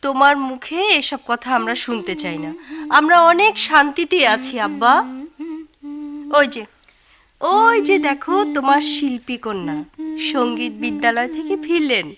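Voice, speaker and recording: An actress playing a caring, anxious young daughter in a radio drama, speaking in a pleading, solicitous way. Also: female voice, high voice, animated, normal speed, crowd noise. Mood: protesting, affectionate.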